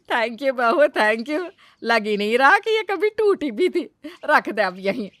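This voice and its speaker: A woman's voice: high voice, big pitch swings, very slow, fairly bright tone, no room sound, studio quality, exaggerated voice. A woman playing a bossy, sentimental middle-aged mother-in-law in a comic family story, scolding with dramatic sarcasm. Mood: sweetly pleased.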